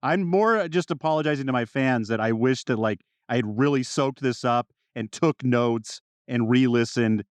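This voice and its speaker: A man co-hosting a podcast, chatting casually in an animated, jokey way and jumping in often. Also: medium pitch, normal speed, few pauses, no room sound. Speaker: podcast co-host. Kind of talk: casual chat about a science fiction series.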